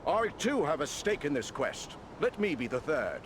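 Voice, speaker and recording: An actor playing a bold, committed giant eagle in a fantasy game. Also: male voice, on the high side, sing-song, normal speed, background noise. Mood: determined. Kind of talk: fantasy game cutscene acting.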